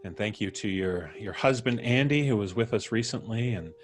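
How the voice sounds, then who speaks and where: A man's voice: on the low side, moderate pace. A man hosting a live stream in a casual, chatty, jokey way. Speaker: podcast host.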